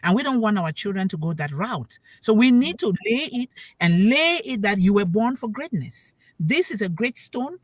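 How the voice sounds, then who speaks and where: A woman's voice: medium pitch, big pitch swings, moderate pace, few pauses, no room sound. A woman speaking on a talk show panel as a parent, giving an emphatic, preachy talk that repeats key words for stress and puts questions to her listeners. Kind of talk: motivational talk.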